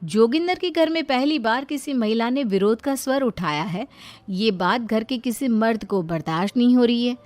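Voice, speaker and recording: A woman's voice: fairly high pitch, lively intonation, normal speed, darkish tone. A woman narrator reading a scripted moral story in a dramatic storytelling style.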